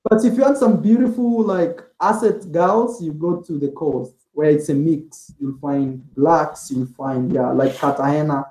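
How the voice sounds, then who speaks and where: Male interview guest, casual and rambling, filler-heavy, mellow tone, echoey room. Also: a little high, unhurried, hardly any pauses. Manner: rambling, heavy use of "like" and "man". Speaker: interview guest, travel vlogger.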